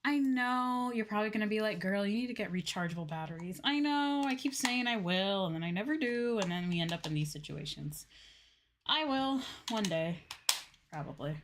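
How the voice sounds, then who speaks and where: A woman's voice: medium pitch, animated, normal speed, noisy background. A woman chatting casually and with some self-deprecation as she livestreams a video game. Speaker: streamer, virtual YouTuber. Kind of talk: casual livestream gaming chat. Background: game music behind the voice.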